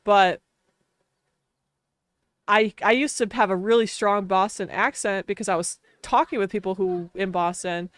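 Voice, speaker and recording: A woman's voice: medium pitch, unhurried, pauses often, no room sound. A woman from Wisconsin with a Wisconsin accent, chatting casually while streaming a game, laughing often and saying "like" a lot. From Wisconsin, USA. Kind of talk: casual livestream chat.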